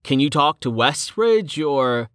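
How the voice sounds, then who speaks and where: A woman's voice: a bass voice, big pitch swings, slow pace, no echo, clean studio sound, voice pushed up, heavily acted. A woman voicing an earnest, mild-mannered man in an audiobook, cautious and questioning.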